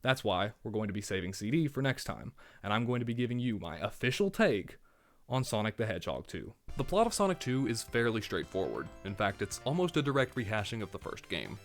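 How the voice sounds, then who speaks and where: Fast-talking man with a fading voice. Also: lowish voice, sing-song, soft background music. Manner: sarcastic, wry asides. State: losing his voice from a cold. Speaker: video game reviewer. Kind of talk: scripted video essay narration.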